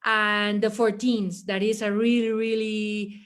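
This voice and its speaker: A woman's voice: medium pitch, level tone, slow. A woman from Latin America, a mountaineer, answering interview questions in English with many fillers and restarts. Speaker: mountaineer guest.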